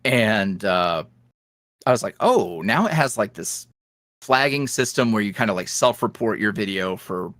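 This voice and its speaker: A man chatting casually as a podcast guest, his voice swinging up and down in an animated, lively way. He laughs often. The sound is dry and close, with no room echo. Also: on the low side, a little slow. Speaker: guest, ASMR roleplay creator.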